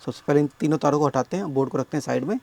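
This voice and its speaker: A man with a sore, hoarse throat explaining a speaker repair step by step at his workbench, often addressing viewers as friends. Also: on the high side, darkish tone. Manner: explains slowly, keeps calling viewers friends. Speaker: electronics repair technician.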